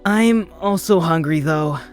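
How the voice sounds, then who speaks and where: A boyish young man in a webtoon drama, played by a male actor, with a fairly high voice over background music. He is cheeky and impulsive, with a soft, caring side. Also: unhurried, lightly acted. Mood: fond, grumbling. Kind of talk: webtoon fan dub voice acting.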